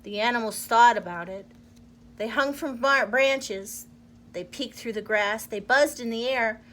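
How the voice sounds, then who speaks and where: High, bright woman reading a children's story. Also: a little slow. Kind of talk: expressive children's storybook narration.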